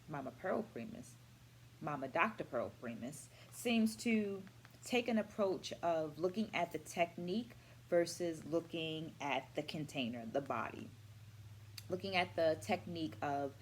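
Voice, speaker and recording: A middle-aged woman with a somewhat low voice talks through her reflections in a vlog. She phrases things carefully and articulately, leaning on key words like an educator. Some background noise sits behind her voice. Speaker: vlogger and dance educator. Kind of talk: reflective book-review vlog.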